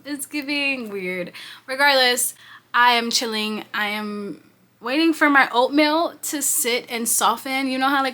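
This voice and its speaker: A woman's voice: on the high side, pushed and bright. A young woman in her twenties from New York, chatting casually and rambling through a reading vlog at home, sniffly and congested, recorded on her phone. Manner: chatty, slangy, rambling asides. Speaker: book vlogger. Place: at home, indoors. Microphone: phone camera.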